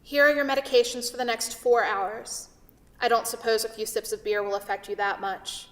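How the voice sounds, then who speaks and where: A woman's voice: fairly high pitch, steady tone, moderate pace, fairly bright tone, close to an everyday voice. A woman playing a stern, officious hospital nurse with a firm, formal manner in a staged play reading. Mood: brisk, dry. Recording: echoey hall from far off.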